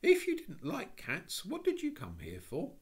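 A man voicing an eager, earnest young girl who pleads in a high, breathless rush. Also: wildly swooping pitch, unhurried, full character voice.

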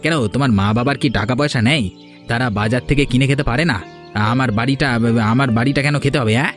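A man's voice: medium pitch, fast, soft background music, natural voice. A man voicing a grumbling, selfish, defensive village husband in a cartoon. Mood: grumbling, irritated. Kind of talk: Bengali cartoon dialogue.

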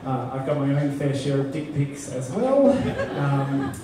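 A middle-aged woman doing a halting, deadpan stand-up set, with many ums, into a handheld mic on a club stage. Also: rumbling low, a crowd in the background. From Australia. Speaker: stand-up comedian. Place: comedy club stage before a live audience. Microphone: handheld mic, filmed from far in the crowd. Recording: echoey club audio from far off. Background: audience laughter.

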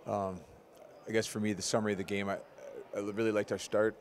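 A man in his forties, a head coach, giving a measured, analytical postgame interview with frequent ums, into a handheld mic in an arena corridor. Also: on the low side, little pitch movement, slight background noise.

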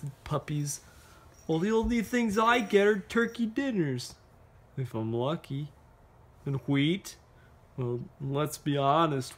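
Weary, proud storybook crayon, played by a man: plaintive, aggrieved, higher-pitched, very unhurried.

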